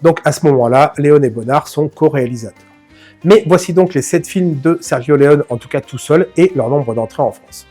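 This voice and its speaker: A man's voice: on the high side, normal speed, mellow, soft background music. Chatty French film-channel host, a man. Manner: chatty, addresses viewer informally, self-mocking asides. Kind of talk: casual film analysis to camera.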